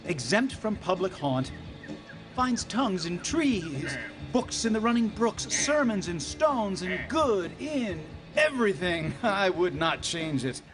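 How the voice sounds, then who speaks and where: An actor playing a serene exiled duke in a Shakespearean radio drama, declaiming in drawn-out phrases over music. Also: male voice, high-pitched, big pitch swings, full character voice, rough recording. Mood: serene musing. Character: exiled duke musing serenely on forest life. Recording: music under live stage performance.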